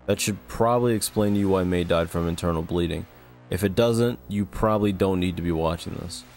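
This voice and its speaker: Male narrator for scripted analysis videos, low voice, measured delivery with dry asides, music underneath. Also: darkish tone. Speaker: video essay narrator and host.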